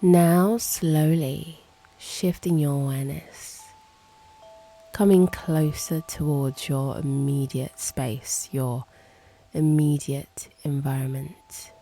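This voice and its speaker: A woman with a low, mellow, creaky voice guides a meditation. She speaks in a soft hush, leaving long pauses and letting the ends of her phrases turn breathy. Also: steady tone, soft background music. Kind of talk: guided meditation, slow and hushed.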